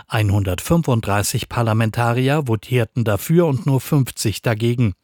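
A man's voice: mid-range voice, a little mellow, no echo, clean studio sound. A man reading a scripted radio news bulletin as a newsreader.

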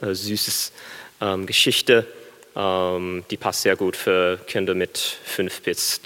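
A young American man, a baritone student, answering interview questions on stage in halting, careful German full of pauses and fillers. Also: level tone, bright, forceful tone, big-room sound. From USA. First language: English.

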